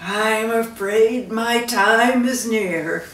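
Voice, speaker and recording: A woman's voice: a little high, very slow, fairly bright tone, slight background noise, voice pushed up. A woman voicing a dying old king, a fond and solemn father in a storybook, speaking emphatically. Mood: resigned. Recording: roomy echo, distant microphone.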